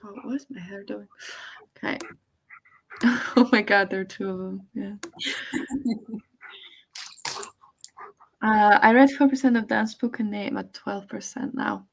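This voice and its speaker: A woman from Poland with plenty of vocal fry in a mid-range voice. She co-hosts a casual livestream, chatting informally and reading out comments from viewers. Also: medium pitch, measured pace, pauses often, slight background noise, low-quality recording. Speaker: live stream co-host.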